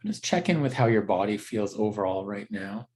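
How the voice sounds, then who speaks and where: A man teaching a guided meditation in a gentle, unhurried, conversational way with halting pauses. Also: fairly low pitch, expressive.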